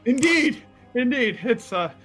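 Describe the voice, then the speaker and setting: A man with a high, bright voice plays in a tabletop roleplaying game. He chats casually and improvises, sounding agreeable and quick to chuckle. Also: animated, soft background music.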